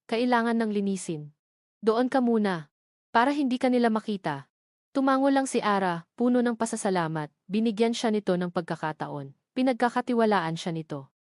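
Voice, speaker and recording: A woman's voice: medium pitch, a little mellow, no echo, studio quality. A woman narrating a scripted dramatic story.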